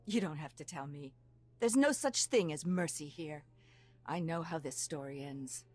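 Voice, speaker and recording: An actress playing a defiant, hard-bitten hired assassin held prisoner in a video game, tough and bitter. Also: female voice, low voice, moderate pace, darkish tone. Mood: bitter, resigned. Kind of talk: scripted video game dialogue. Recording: music behind the voice.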